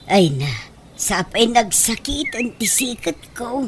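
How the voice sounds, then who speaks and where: A woman's voice: a little high, sing-song, takes it slowly. A woman playing a meek, long-suffering older aunt in a radio drama, with a Filipino accent. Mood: weary, aggrieved. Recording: noticeable background noise.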